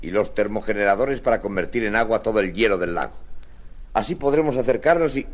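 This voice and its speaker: A man's voice: lowish voice, fast, close to an everyday voice. An actor playing a confident, courteous male explorer who shrugs off danger, with formal declamatory delivery in a 1950s radio serial.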